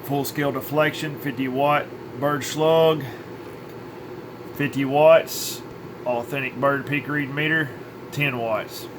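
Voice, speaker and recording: Man, mid-pitched voice, folksy repair technician, informal workbench demonstration, faint room noise behind. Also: fairly even intonation, slow. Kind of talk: informal hands-on demonstration and update for a customer.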